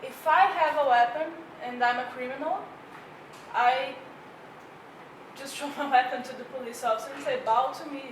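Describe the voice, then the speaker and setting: A woman's voice: high-pitched, normal speed, pauses often, slight background noise. A woman in the audience asking a skeptical, incredulous question. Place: lecture hall. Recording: echoey hall from far off.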